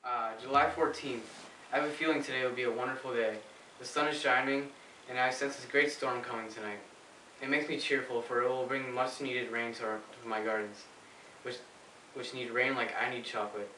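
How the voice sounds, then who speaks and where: Man playing pompous French monarch, mid-pitched, declamatory, amateur stage play, roomy echo. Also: fairly even intonation, fairly quick, bright, forceful tone, natural voice. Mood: cheerful, self-absorbed. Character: pompous, complacent, self-absorbed French king. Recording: distant echoey amateur recording with hiss.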